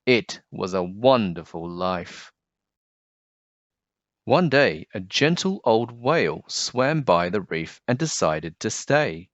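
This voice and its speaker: A man narrating a children's picture book in an expressive, scripted read-aloud style. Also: lowish voice, slow pace, no echo, muffled recording.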